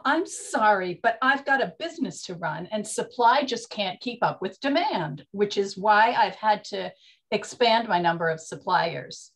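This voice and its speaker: A woman playing a breezy, unrepentant 1920s bootlegger boss in an audio drama. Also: fairly low pitch.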